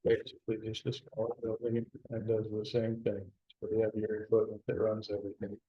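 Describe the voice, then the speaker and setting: A man leading a town board meeting, talking haltingly with many restarts. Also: lowish voice, monotone. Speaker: presiding board trustee. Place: town hall meeting room. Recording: dull lo-fi meeting recording.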